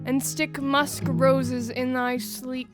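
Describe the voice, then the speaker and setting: A lovestruck fairy queen acted by a woman, speaking slowly in a high, tender voice. She coos dotingly in Shakespearean verse over clear guitar music. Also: level tone. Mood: adoring. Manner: tender, cooing. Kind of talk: Shakespearean audio drama acting.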